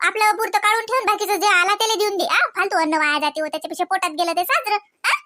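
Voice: very high-pitched, very fast, sharp tone. A performer voicing chatty, fussy village women in a comedy cartoon, with a rural Varhadi Marathi accent and a nagging, colloquial way of talking full of questioning tags. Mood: bossy, generous. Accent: rural Varhadi Marathi, Vidarbha. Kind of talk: comedy cartoon dialogue in rural household chat.

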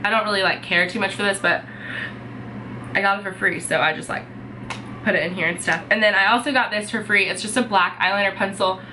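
A woman's voice: mid-range voice, a little fast, pushed and bright, a little hiss or hum, poor audio. Congested young woman, chatty vlogger. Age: young adult. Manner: chatty, many fillers like "um" and "like". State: sick and congested. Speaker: beauty vlogger. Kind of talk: casual chat to camera. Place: bedroom, indoors.

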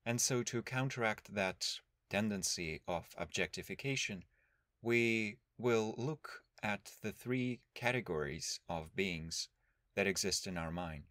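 A man leading a guided meditation as a teacher, speaking in a calm, measured, instructional way with long pauses. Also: lowish voice, no echo.

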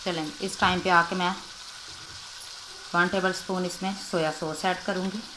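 A woman calmly explaining a recipe step by step in her home kitchen, recorded on a phone held over the stove. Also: on the low side. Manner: calm, unhurried explaining with friendly "chalein ji" prompts. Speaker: cooking channel host. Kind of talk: step-by-step cooking instruction. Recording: loud frying and stirring noise behind the voice.

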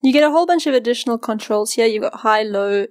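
A woman narrates a software tutorial in a fairly high voice. She explains each step informally, and the recording is close and dry, with very little room sound. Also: quick pace, no echo. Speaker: tutorial presenter.

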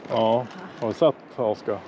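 Travel vlogger, man, lowish voice, casual and chatty, outdoor camera audio with noise. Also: muffled recording. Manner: relaxed, chatty, talking to family while filming. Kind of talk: casual narration while filming. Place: outdoor mountain viewpoint. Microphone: handheld camera mic.

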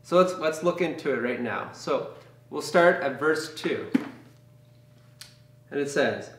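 A man's voice: on the high side, expressive, takes it slowly, distant mic, noisy background. Earnest young man teaching in an echoey room. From Canada. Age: young adult. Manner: earnest, conversational, with frequent fillers and restarts. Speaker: campus ministry leader. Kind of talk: informal Bible teaching to camera. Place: small room with brick wall and bookshelves, indoors. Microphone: camera mic from a few feet away. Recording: echoey room, voice set back from the mic.

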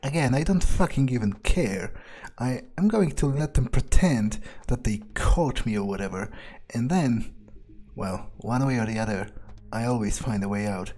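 A man's voice: mid-range voice, normal speed, a little mellow, slight background noise. A man giving in-character video game commentary, musing aloud with a defiant manner and casual swearing. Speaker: gaming video creator playing in character. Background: faint game music.

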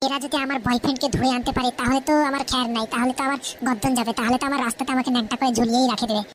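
Lady doing sneaky whiny young cartoon wife, super high voice, talks fast, noisy audio. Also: steady tone, lightly acted. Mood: sly plotting. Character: scheming young wife, tearful and wheedling, secretly cunning. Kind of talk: comic cartoon dialogue.

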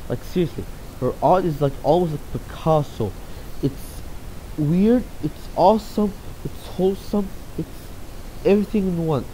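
A man's voice: fairly high pitch, unhurried, dark tone, a little hiss or hum, rough recording. A young man from Saudi Arabia answering interview questions about his art in a loose, rambling way. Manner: rambling, many fillers and restarts. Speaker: interview guest, artist. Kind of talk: casual answers to read-out questions.

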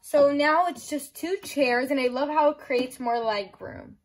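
A woman's voice: high-pitched, a little slow. A young woman giving a casual, enthusiastic toy review in simple words, with plenty of "like" as filler. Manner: enthusiastic, simple explaining with frequent "like" and "I love". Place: small room at home.

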